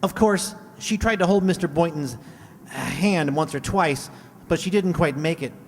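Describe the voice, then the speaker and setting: An actor playing an earnest teenage schoolboy, hesitant and eager, in a comic radio play. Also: male voice, a little high, measured pace, slight background noise. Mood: awkward, eager. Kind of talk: comic old-time radio sitcom acting. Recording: echoey hall with long reverb.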